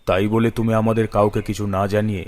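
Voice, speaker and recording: A man voicing a stern, uneasy middle-aged father in an animated ghost story, measured and reproachful. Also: lowish voice, in a large hall, close to an everyday voice.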